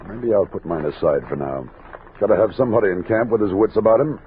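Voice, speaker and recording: Actor as deep-voiced frontier army captain, tinny radio. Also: male voice, lightly acted. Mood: commanding, good-humored. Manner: measured, commanding. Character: Captain Clark, steady frontier expedition army leader and narrator. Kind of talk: old-time radio western acting and narration. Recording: tinny noisy old radio recording.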